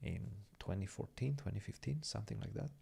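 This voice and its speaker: Low gravelly-voiced man, casual chat. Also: brisk, dark tone. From Romania. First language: Romanian. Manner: chatty, answers viewer questions, thinks aloud over moves. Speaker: chess podcast co-host reading chat. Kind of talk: casual livestream chat with game commentary.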